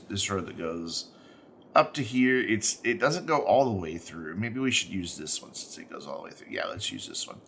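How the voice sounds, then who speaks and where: A man with stuffy, congested sinuses talks through his gameplay in a slow, musing way, often restarting his thoughts. His voice sits low yet carries a bright, forward edge. A faint hiss of noise sits behind him. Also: creaky. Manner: unhurried, musing, self-correcting. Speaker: gaming channel host. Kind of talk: let's play commentary, thinking aloud while building.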